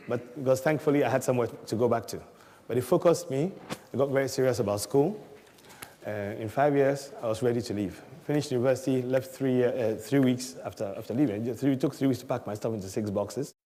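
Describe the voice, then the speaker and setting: A middle-aged man from Ghana recounting his life in a relaxed storytelling way, chuckling often and mimicking people in his anecdotes. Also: mid-range voice, big-room sound, slight background noise.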